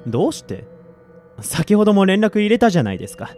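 Guy acting polite cold company collector in a radio drama, slightly high voice, very lively intonation, music behind. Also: fast talker. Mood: polite, matter-of-fact. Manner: businesslike, polite, insistent. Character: coolly polite male collection agent from an android company. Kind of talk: Japanese audio drama acting.

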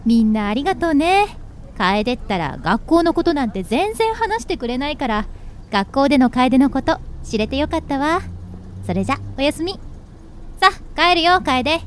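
A woman playing a kindly, cheerful mother of a teenager in an amateur radio drama, with gentle, feminine phrasing. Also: sits high, big pitch swings, moderate pace, slight background noise. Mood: warm, cheerful.

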